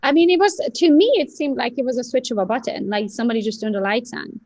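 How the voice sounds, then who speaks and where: A woman in her thirties with a Romanian accent, telling personal stories in a casual, chatty, animated way as a podcast guest. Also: fairly high pitch, quick pace. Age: 30s. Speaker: podcast guest, real estate agent and coach.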